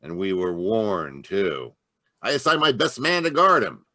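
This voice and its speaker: An actor playing an agitated, blunt police inspector in a 1940s-style radio play. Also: male voice, lowish voice, wildly swooping pitch, measured pace, sharp tone, exaggerated voice. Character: harried middle-aged male police inspector.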